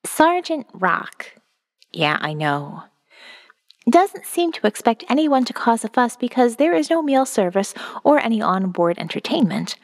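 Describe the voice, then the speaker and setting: A woman's voice: a little high, big pitch swings, a little slow, in a large hall. A woman voicing a weary, wary survivor reading out her diary in a wry, observant first-person audio novel narration. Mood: uneasy, sober.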